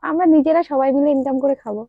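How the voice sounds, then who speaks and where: A woman's voice: sits high, rapid-fire, no room sound, narrow, tinny audio. A young adult woman in a casual, teasing prank-call chat, pressing on persistently as the host and caller. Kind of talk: casual prank phone chat with asides to viewers.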